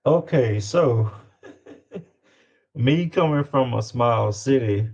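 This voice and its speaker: A man's voice: medium pitch, lively intonation, very slow, darkish tone, slightly rough. A man from Louisiana with a Southern US accent, chatting casually as an interview guest, slangy and repeating himself for emphasis. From Louisiana, United States. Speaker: guest, independent music artist. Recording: dull, lo-fi sound.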